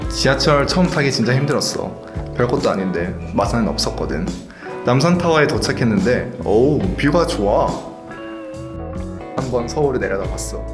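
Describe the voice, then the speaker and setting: Give me a young man narrating a casual vlog over music, chatty and informal. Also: mid-range voice. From South Gyeongsang, Korea. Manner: chatty, informal, animated intonation. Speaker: host and narrator. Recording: echoey with music behind.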